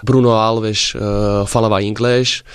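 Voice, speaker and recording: A man in his forties from Slovakia, speaking Portuguese with a Slovak accent as a radio interview guest, pausing often to search for words. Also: fairly low pitch, little pitch movement, clean tone, no room sound, studio quality. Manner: halting, searching for words in a second language. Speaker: interview guest, former footballer.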